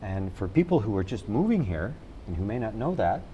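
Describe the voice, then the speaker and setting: Folksy low-voiced man hosting. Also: very animated, fairly quick, mellow, slight background noise. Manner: folksy, joking, with frequent fillers. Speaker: TV talk show host. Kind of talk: hosting a local TV interview.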